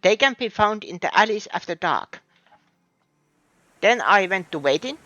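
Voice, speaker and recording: A man's voice: high-pitched, expressive, normal speed, sharp tone, no echo. A man with a Finnish accent, thinking aloud in a hesitant way as he comments on a game. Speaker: streamer. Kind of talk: casual gameplay commentary.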